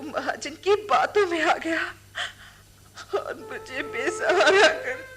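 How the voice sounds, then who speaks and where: An actress playing a bitter, grieving young village widow in a Hindi radio drama, with an impassioned, emphatic, tearful delivery. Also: female voice, sits high, lively intonation, takes it slowly, a bit bright, soft background music, full character voice. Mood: tearful, anguished.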